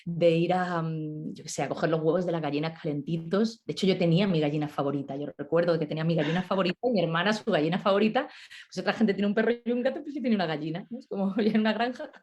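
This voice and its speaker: Mellow-voiced woman from Málaga telling stories. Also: on the low side, very fast, dull audio. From Málaga, Spain. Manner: warm storyteller, many "¿no?" tags. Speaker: guest, nutrition specialist. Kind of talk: relaxed storytelling interview.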